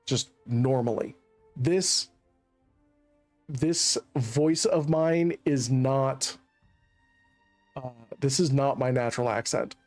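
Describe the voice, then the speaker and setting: A man's voice: medium pitch, takes it slowly, long pauses, darkish tone, soft background music. A man from Central Virginia chatting in a rambling, joking way while streaming a colony game. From Central Virginia, USA. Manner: rambling, chatty, laughs at his own jokes. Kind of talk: casual gameplay commentary.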